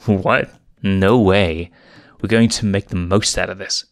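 A man's voice: low voice, fairly even intonation, a little slow, dry recording, faint music behind. A man voicing a cheeky, carefree young male lion cub in a lightly raised voice. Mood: reassuring.

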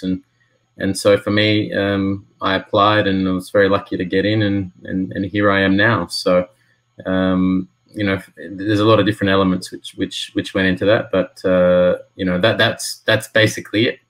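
A man's voice: low-pitched, little pitch movement, normal speed, low-quality recording. A man in his thirties from Australia, talking casually on a video call from a small room, hesitant with many fillers. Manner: hesitant, with frequent fillers and restarts. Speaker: football lawyer, alumnus guest. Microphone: laptop webcam on a video call.

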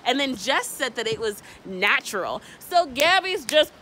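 Animated young woman, lively pitch. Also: measured pace, heavy background noise. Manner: animated, playfully arguing, laughing. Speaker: vlogger. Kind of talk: casual chat. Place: outdoor seaside walkway.

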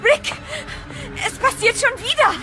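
A woman's voice: very high-pitched, unhurried. A woman playing a frightened, urgent young woman fleeing danger in a German horror radio drama. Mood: alarmed. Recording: music under the dialogue.

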